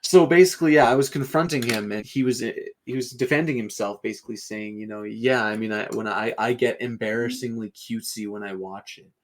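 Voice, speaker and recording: A man with an average-pitched voice chats casually with friends. He tells his story in a rambling way, doubling back to correct himself, and keeps a dry, sardonic humor. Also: brisk, hardly any pauses, slight background noise. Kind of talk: casual joking banter among friends.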